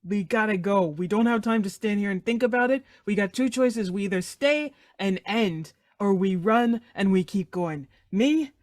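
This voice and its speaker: A woman voicing a brisk, decisive male survivor in a tabletop game, clipped and urgent. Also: medium pitch, lively intonation, no echo, studio quality, lightly acted. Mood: urgent, resolute.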